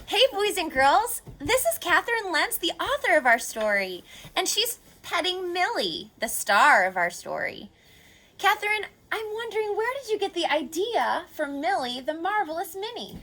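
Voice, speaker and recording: A woman's voice: extremely high pitch, big pitch swings, moderate pace, bright, forceful tone. A woman hosting a children's read-aloud, speaking expressively and putting questions to young listeners as she teaches. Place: on location at a horse facility.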